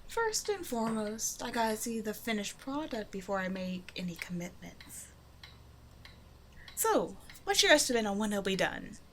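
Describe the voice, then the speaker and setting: Woman acting as a flirty female supervillain for a roleplay audio, teasing n sure of herself, casual talk, mid pitch, some noise behind her. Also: very animated, normal speed, close to an everyday voice. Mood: teasing, flirtatious. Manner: teasing, casual, self-assured. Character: flirtatious female supervillain, a demanding but generous tailor's customer. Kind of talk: roleplay audio drama, intimate one-sided dialogue to listener.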